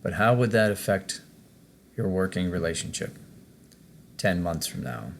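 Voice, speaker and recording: A man narrating a guided meditation as its host, in a measured way, pausing between short phrases. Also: deep voice, lively intonation, a little hiss or hum.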